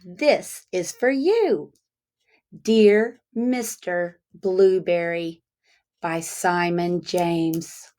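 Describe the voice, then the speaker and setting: A woman teacher reading a picture book aloud to young children, speaking deliberately and pausing to ask them questions. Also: lowish voice, mellow.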